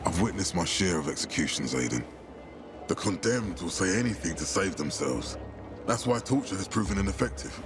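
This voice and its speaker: An actor playing an authoritative, calculating military commander in a video game, with a measured, persuasive manner. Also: male voice, low voice, animated, music in the background. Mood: smooth concern. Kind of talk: video game cutscene acting.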